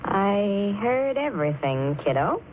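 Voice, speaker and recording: A woman's voice: mid-range voice, very animated, takes it slowly. A woman playing a shrewd, grasping, slangy girlfriend in a melodramatic 1940s radio thriller. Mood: sly, taunting. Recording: tinny, noisy 1940s radio recording.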